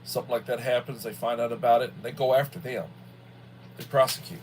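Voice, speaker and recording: A man in his sixties talks off the cuff in a casual livestream style at a middling pitch. His delivery is folksy and halting, often circling back to repeat a phrase. A light background hum runs under the voice. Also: steady tone, a little mellow, poor audio. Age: early 60s. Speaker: livestream host, school worker. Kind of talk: casual rambling livestream chat.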